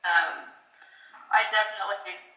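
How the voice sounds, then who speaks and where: A woman's voice: medium pitch, fairly even intonation, moderate pace, pushed and bright. A woman chatting casually and playfully as a fellow teacher on a video call, with a theatrical manner. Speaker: teacher co-hosting an online class. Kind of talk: casual chat. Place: at home. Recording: dull, echoey, distant video-call audio.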